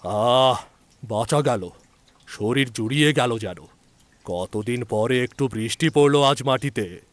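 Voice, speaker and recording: A man's voice: medium pitch, slow pace, no room sound, close to an everyday voice. A man playing a proud, old-fashioned elderly village healer in an audio story, grumbling and earnest in his opinions. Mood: relieved. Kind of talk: audio story character acting.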